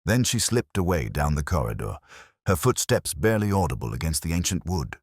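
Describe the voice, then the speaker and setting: A man narrating a scripted first-person detective mystery as a brooding, world-weary investigator, in a measured, solemn, literary manner. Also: a bass voice, big pitch swings, slightly rough, dry recording, studio quality. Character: brooding, world-weary male police detective recounting a case.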